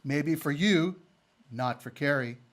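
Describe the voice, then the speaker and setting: A man as lovestruck cowboy, fairly high male voice, stubborn, plainspoken, rustic, radio drama. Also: takes it slowly. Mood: defiant. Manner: plainspoken, rustic Western speech. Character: earnest, stubborn, unarmed cowboy in love. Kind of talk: old-time radio Western acting.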